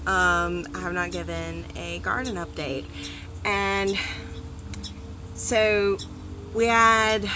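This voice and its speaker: A woman in her thirties giving a casual gardening vlog outdoors on a front porch, chatty, with many ums and animated asides. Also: mid-range voice, background noise. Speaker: gardening vlogger. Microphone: phone or camera on a small tripod.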